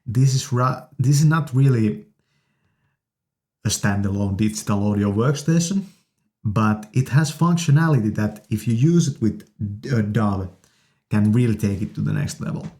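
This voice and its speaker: Low-pitched, mellow man demonstrating gear. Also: normal speed. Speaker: video host reviewing audio gear. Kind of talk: casual product demonstration. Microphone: studio condenser microphones.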